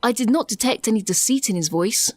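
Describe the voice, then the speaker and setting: A woman playing a polite, calmly analytical robot servant in an audio drama, formal and precise. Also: fairly high pitch, a little fast, no echo. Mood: polite, composed. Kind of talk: post-apocalyptic audio drama acting.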